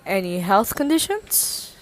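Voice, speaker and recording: A woman's voice: mid-range voice, sing-song, a little hiss or hum, rough recording. A woman playing an obsessive, eerily polite male host, a grieving maniac, in an amateur radio drama. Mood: probing, unsettling. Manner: insistent, deliberate, menacing politeness.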